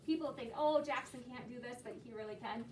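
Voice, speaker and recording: A woman's voice: fairly high pitch, a little fast, darkish tone. An American woman teaching a class of children, encouraging them and prompting with questions. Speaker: elementary school teacher. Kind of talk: leading a class discussion of a read-aloud. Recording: roomy, noisy classroom, distant mic.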